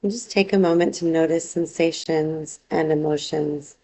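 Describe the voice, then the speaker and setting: A woman teaching yoga nidra, guiding a relaxation meditation in a calm, unhurried, gently instructive way. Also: sits low, low-quality recording.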